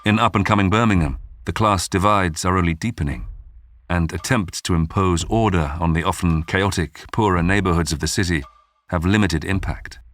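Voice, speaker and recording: A man hosting and narrating a scripted history documentary in a measured, dramatic storytelling manner. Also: low-pitched, fairly bright tone. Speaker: documentary podcast narrator and host. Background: background music.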